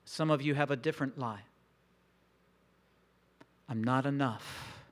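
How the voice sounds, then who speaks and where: A man preaching a sermon in a slow, reflective, intimate way with long pauses. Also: mid-range voice. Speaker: pastor.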